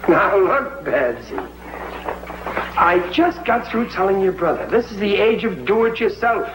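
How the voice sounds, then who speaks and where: A bossy, excitable sitcom father who trips over his own words. His voice sits high and leaps around wildly. The old TV soundtrack carries a constant hiss. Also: unhurried, echoey room, full character voice, rough recording. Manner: excitable, stammering, flustered. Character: blustery middle-aged working-class dad, stubborn. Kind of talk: 1950s TV sitcom acting.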